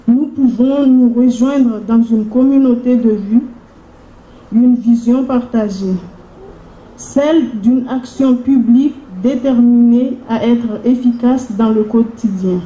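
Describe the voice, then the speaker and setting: A woman delivers a solemn, formal welcome address as a mayor. Her voice is fairly high and very soft-edged and dark, with slow, deliberate phrasing. The sound is echoey and dull. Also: fairly even intonation. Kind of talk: formal speech at a conference. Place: large room at a public conference.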